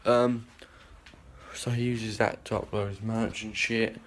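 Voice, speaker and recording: A man's voice: lowish voice, little pitch movement, unhurried, slight background noise. A young man, rambling and swearing in a casual vlog filmed on a phone held at arm's length. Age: young adult. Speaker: vlogger. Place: small indoor rooms and a hallway.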